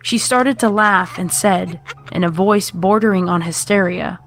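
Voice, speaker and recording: A woman's voice: mid-range voice, unhurried, faint music behind. A woman reading scripted horror fiction as the narrator.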